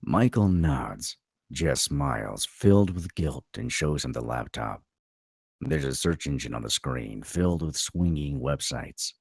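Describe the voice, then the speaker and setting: A man with a gravelly voice narrating the stage directions of a film script at a table read. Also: very deep voice, expressive, measured pace, no echo, studio quality.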